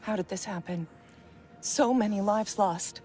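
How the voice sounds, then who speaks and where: An actress playing a strained, overwhelmed field medic in a video game, with a worried, clipped manner. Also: female voice, mid-range voice, measured pace, darkish tone, faint music behind, natural voice. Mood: stricken. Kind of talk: video game character acting.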